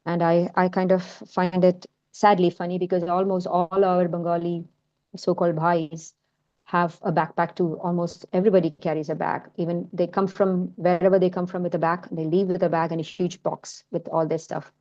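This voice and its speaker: A woman's voice: fairly low pitch, little pitch movement, moderate pace, dark tone, lo-fi sound. A woman, a Malayalam speaker with a mixed Indian and American accent, presenting her film work in a hesitant talk full of fillers and restarts. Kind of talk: informal talk in an online session.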